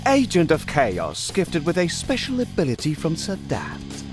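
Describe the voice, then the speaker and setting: An actor playing an enigmatic, knowing god of deception in a fantasy audio drama, speaking in a measured, formal, cryptic way. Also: male voice, a little high, huge pitch swings. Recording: music bed under the voice.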